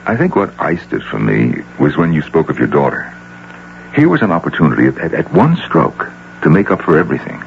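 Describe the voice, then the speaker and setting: An actor playing an earnest, lovestruck middle-aged businessman in a radio drama, halting and emphatic, with a gravelly American voice. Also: very deep voice, sing-song, full character voice. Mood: earnest, wooing. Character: earnest, lovestruck wealthy businessman, awkward yet insistent suitor. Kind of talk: old-time radio drama acting. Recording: dull hissy radio drama recording with hum.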